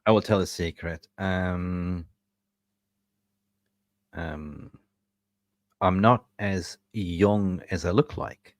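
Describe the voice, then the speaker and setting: A playful man in his fifties, born and raised in Sweden, chatting loosely as co-host. His voice sits fairly low. The sound is close and dry. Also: extremely slow, long pauses, dry recording. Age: 50s. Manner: playful, laughs often, slips into jokey impressions. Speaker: co-host of a football fan show. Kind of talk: casual podcast chat.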